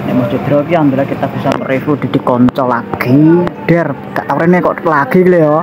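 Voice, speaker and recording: A man's voice: medium pitch, steady tone, brisk. A young man, chatting loosely in a casual vlog filmed on a phone at an outdoor cafe terrace. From Indonesia. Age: young adult. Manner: loose, rambling, mixes in local slang. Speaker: vlogger. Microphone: handheld phone camera held close. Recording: muffled phone audio, loud outdoor traffic.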